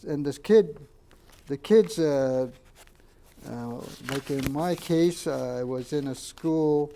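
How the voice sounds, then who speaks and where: An older man, a Japanese first-language speaker from Canada, recalls his childhood in a halting oral history interview and points out photos. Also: fairly high pitch, gravelly, mellow, slight background noise. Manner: halting, many fillers and restarts, pointing out photos.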